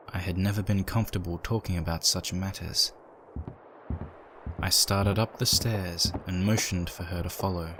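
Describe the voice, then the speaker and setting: A man's voice: low-pitched, low-quality recording. A man reading a scripted story as narrator, in the manner of a dramatic audiobook. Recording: steady ambient noise behind.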